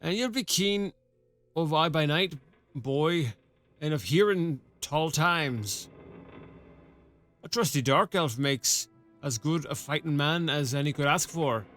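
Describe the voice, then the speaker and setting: A man voicing a gruff one-armed old army sergeant with a put-on rustic dialect, drawling slowly, in a storybook reading. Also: on the high side, lively intonation, a bit bright, faint music behind. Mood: approving.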